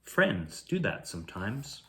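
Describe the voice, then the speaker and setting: A man reading a children's picture book aloud as a bedtime storyteller, in a measured, expressive way. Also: lowish voice, creaky. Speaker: bedtime story reader, host.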